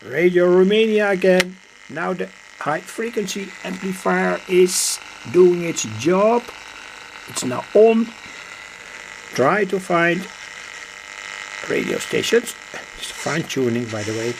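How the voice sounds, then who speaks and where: Slow, halting man with creaky voice. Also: sits high, animated, background noise. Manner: halting, rambling explanation with trailing phrases. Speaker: hobby radio builder and video host. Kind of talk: unscripted demonstration narration.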